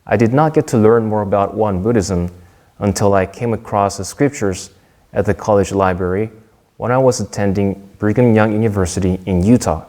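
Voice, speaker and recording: A young man from Korea giving a prepared Dharma talk in a measured, earnest way, with a few small restarts. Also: lowish voice, warm tone.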